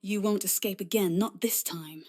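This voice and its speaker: A woman's voice: mid-range voice, moderate pace, a little mellow, faint music behind, close to an everyday voice. A woman playing an earnest, indignant young female warrior in a fantasy game cutscene. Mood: angry, defiant. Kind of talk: scripted video game cutscene acting.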